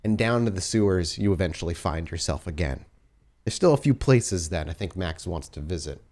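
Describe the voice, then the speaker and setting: Male storyteller for tabletop role-play, deep voice, scene-setting descriptive delivery. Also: lively intonation, a little fast, a little mellow. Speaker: storyteller, game master. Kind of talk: descriptive tabletop game narration.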